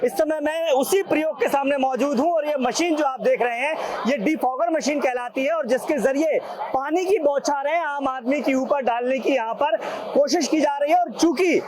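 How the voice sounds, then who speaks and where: A man's voice: extremely high pitch, normal speed, crowd noise. A man giving an on-location television news report outdoors. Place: outdoors at a city street. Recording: clear outdoor ambient noise.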